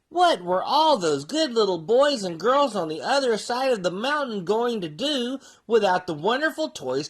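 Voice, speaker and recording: A performer voicing a cheerful folksy mule who reads a children's storybook aloud in an animated, sing-song way. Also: mid-range voice.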